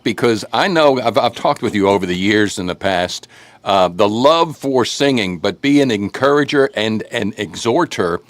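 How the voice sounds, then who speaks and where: A man's voice: medium pitch, no room sound. A man hosts a local radio show that also streams live. He talks in a loose, joking, rambling way and pokes fun at himself. His voice is picked up dry and close on the mic. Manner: jokey, rambling, self-deprecating. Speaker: host.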